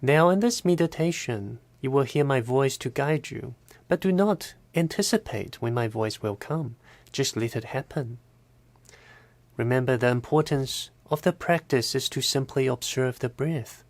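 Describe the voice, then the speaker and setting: Slow, gravelly male meditation guide. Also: mid-range voice, dry recording. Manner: measured, instructional, with deliberate pauses between short phrases.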